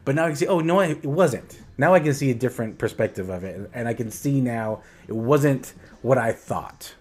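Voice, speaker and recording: A man's voice: mid-range voice, animated, normal speed, a little mellow, breathy edge. A man co-hosting a casual podcast, thinking aloud with many restarts and a reflective manner. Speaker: podcast co-host.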